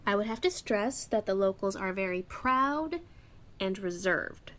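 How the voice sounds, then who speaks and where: A woman's voice: mid-range voice, animated, unhurried, fairly bright tone. A woman playing a chatty, outspoken young store clerk in a radio play, talking in a folksy, slangy, confiding way. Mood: cautious, warning.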